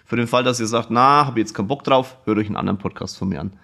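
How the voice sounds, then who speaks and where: A man talks straight to his listeners in a relaxed podcast monologue. His voice sits at an ordinary middle pitch. He is chatty, frank and self-mocking, lifting his voice as he gets into a story. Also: rapid-fire, gravelly. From Kulmbach, Upper Franconia, Germany. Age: 40s. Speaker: podcast host. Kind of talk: casual personal storytelling monologue. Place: office.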